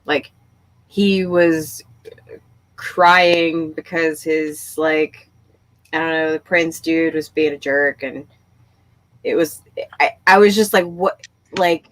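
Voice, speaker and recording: A woman hosting a casual group book chat, speaking in an animated, emphatic way with exasperated storytelling. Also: low voice, slow pace, long pauses, no room sound. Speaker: book discussion host. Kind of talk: casual group chat about books and shows.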